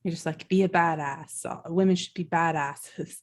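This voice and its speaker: A woman's voice: deep voice, fairly even intonation, normal speed. A woman hosting a podcast, asking questions in an encouraging, loosely hesitant way. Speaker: podcast host. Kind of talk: casual interview conversation.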